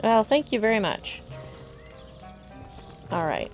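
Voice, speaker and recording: A woman streaming a video game live, slightly hoarse from a cold, chatting with viewers and thinking aloud as she plays. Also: medium pitch, wildly swooping pitch, slow, music in the background. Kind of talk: casual live gameplay commentary.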